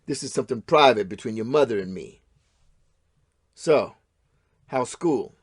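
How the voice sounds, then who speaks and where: A man's voice: mid-range voice, animated, unhurried, husky. An actor playing a crude, menacing middle-aged father in an audio play, with swaggering, goading macho talk. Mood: sly, probing. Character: menacing, crude middle-aged father, estranged husband, needling his son.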